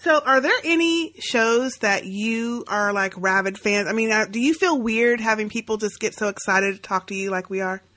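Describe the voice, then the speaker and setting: A woman with a bright, forward voice co-hosts a podcast chat. She talks bluntly and likes to tease her co-host in a casual, joking way. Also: mid-range voice, normal speed, hardly any pauses.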